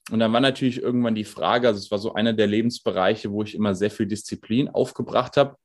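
A man's voice: on the low side, fast talker. A man in his twenties, a strength and conditioning coach, explaining his work at ease in a podcast interview, with plenty of fillers. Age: 20s. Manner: relaxed, explanatory, with many fillers.

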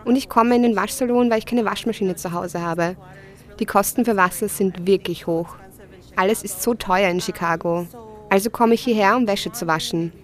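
A woman's voice: mid-range voice, lightly acted. A woman dubbing a hardworking woman in her thirties, speaking plainly and conversationally. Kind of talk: dubbed voice-over of an interview.

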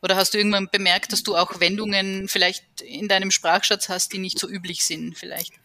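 A woman interviewer asking a novelist careful, measured questions. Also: medium pitch, flat delivery, bright, forceful tone, no echo. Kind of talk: literary interview.

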